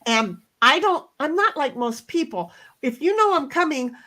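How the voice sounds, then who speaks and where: A middle-aged woman with a loud voice, telling a story bluntly in a casual chat, swearing now and then. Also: sits high, animated, moderate pace. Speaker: co-host.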